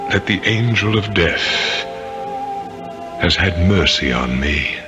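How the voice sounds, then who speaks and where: Centuries-old tormented murderer's ghost: very low, deliberate, theatrical 1970s radio drama delivery. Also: male voice, animated, lo-fi sound. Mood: grave, pleading. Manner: slow, solemn, theatrical delivery with dramatic repetitions. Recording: 1970s radio broadcast with music.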